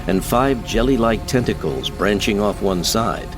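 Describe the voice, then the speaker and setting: Male narrator, low pitch, scripted sci-fi drama, clear music bed. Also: animated, measured pace. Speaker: audiobook narrator.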